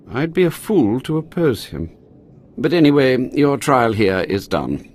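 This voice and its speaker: An actor playing a haughty, scheming Sith inquisitor in a video game, theatrical and condescending, drawing out his words. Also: mid-range voice. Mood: dismissive. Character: haughty, scheming male Sith inquisitor, self-preserving overseer of trials.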